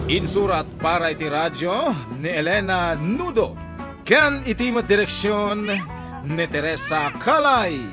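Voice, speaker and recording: A man announcing a radio drama program with a scripted introduction. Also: high-pitched.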